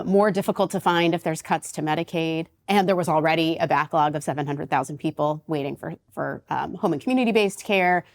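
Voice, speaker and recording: A woman interviewer asking thoughtful, measured questions in a conversation. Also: fairly low pitch.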